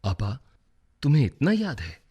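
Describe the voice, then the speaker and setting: A husky male voice with very wide swings in pitch, playing an inquisitive younger brother in a radio drama. He talks with easy familiarity. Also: huge pitch swings, measured pace, mellow, dull audio, exaggerated voice. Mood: astonished. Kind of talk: radio play acting.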